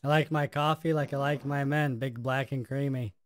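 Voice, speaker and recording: A man's voice: medium pitch, steady tone, normal speed. A man co-hosting a live stream, dropping dry one-liners and thanking new members. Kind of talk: casual stream banter.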